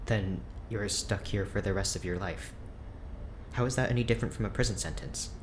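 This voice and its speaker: A man's voice: on the low side, brisk, noisy background, close to an everyday voice. A man playing a reserved, weary research doctor in an audio drama, speaking in a guarded, hesitant, clinical way.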